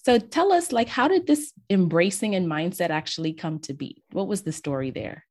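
A woman with a low voice hosts an interview show. She is effusive and leans into her words with emphasis, speaking directly to her guest in an upbeat conversational style. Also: animated, normal speed, darkish tone. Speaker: show host.

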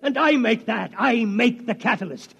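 Radio drama role: weaselly little male technician, husky high voice, whining with grievance, insistent, echoing vintage recording. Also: normal speed, fairly bright tone, exaggerated voice. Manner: agitated, insistent, complaining, repeats himself. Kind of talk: old-time radio drama acting. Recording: reverberant old radio recording.

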